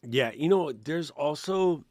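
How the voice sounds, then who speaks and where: Animated joking man, lively pitch. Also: takes it slowly, no room sound, studio quality. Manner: joking, playful, animated bursts. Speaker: podcast co-host. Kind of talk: casual live podcast chat.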